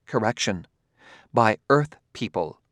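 A man's voice: fairly low pitch, expressive, takes it slowly, fairly bright tone, dry recording, studio quality. A man voicing a haughty, condescending woman scientist in an audiobook, speaking precisely as if lecturing. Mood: lecturing, curt. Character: haughty, cool woman scientist of a spacefaring world.

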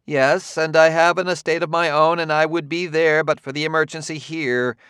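A man reading an audiobook, voicing a brisk, matter-of-fact woman who runs a children's farm, easily exasperated. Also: fairly high pitch, steady tone, fairly bright tone, smooth, dry recording, studio quality, pitched above the performer's own voice, lightly acted. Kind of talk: audiobook character voice.

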